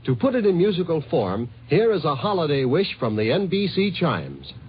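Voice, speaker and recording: A man's voice: on the high side, huge pitch swings, normal speed, a little hiss or hum. A man reading scripted network announcements in a 1950s radio announcing style. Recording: muffled 1950s radio recording.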